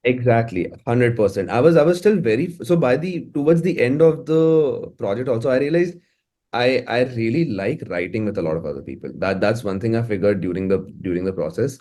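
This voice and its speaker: A man's voice: mid-range voice, animated, fairly quick, mellow. Indian man chatting casually about his work. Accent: Indian English. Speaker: music producer and musician being interviewed. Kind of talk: casual conversational interview.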